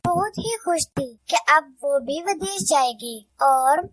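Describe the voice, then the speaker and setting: A woman narrating a cartoon story in a calm storybook manner. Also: high-pitched, takes it slowly, dry recording, studio quality.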